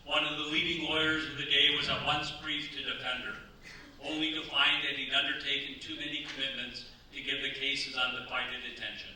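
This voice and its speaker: A man acting as the formal narrator of a staged radio play, telling the story deliberately. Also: medium pitch, little pitch movement, bright, forceful tone. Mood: grave, dramatic. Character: formal storytelling narrator of a historical crime tragedy. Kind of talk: scripted dramatic narration. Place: live theatre stage. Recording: echoey stage, distant mic, faint noise.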